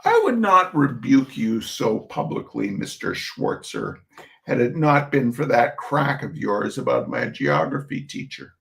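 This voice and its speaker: A man's voice: medium pitch, wildly swooping pitch, a little slow, gravelly, a bit bright. A man hosting a reading of humorous essays, theatrical and emphatic, with mock indignation and chatty asides.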